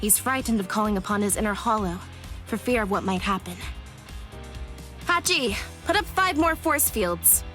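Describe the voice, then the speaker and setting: A woman's voice: medium pitch, expressive, normal speed, a bit bright, natural voice. A woman voicing a brash, hot-tempered tomboy girl warrior in a game cutscene dub, rude and insulting. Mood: scornful. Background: game music.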